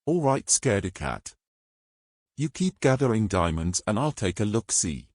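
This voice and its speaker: Man acting a lively, bossy roguish fantasy adventurer. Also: fairly low pitch, dry recording, studio quality. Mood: bossy, scornful. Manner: old-fashioned exclamations, brisk and imperious. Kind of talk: fantasy audio story character acting.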